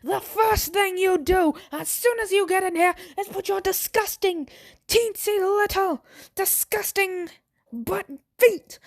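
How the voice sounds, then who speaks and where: Angry bossy schoolboy in an anime story, super high voice, fake irish accent, slow indignant scolding. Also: female voice, no room sound, full character voice. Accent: put-on Irish.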